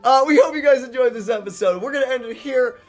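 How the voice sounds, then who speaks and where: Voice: high voice, fast talker. A gaming channel host giving casual, easygoing let's play commentary, often repeating phrases and drawing them out.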